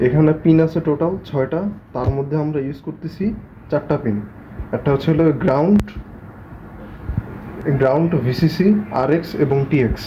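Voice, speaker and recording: Higher-voiced man explaining, noisy recording. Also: moderate pace, pauses often, lo-fi sound. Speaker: presenter of a hobby electronics project. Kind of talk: spoken technical explanation. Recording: noisy amateur recording with ambient sound.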